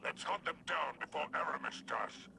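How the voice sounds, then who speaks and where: A man playing a wily, self-important alien crime boss in a video game, with a gravelly voice and pompous, florid delivery. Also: fairly high pitch, normal speed, noisy background. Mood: sly. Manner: pompous, theatrical, florid wording. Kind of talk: video game character acting. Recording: loud game music behind.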